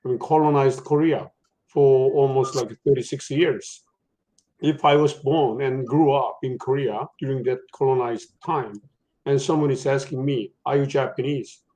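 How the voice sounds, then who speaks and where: A professor on a book-talk panel, a man who thinks aloud in a halting way, full of fillers and restarts. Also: medium pitch, steady tone, warm tone, poor audio. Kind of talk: panel discussion, academic.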